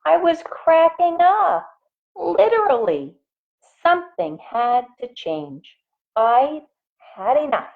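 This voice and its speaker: A woman voicing an earnest, overburdened good egg who tells its own story in a children's picture book, with measured, dramatic phrasing. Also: high-pitched, big pitch swings, creaky, voice pushed up, exaggerated voice. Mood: weary, strained. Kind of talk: children's storybook narration in character. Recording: phone-like, narrow-band sound.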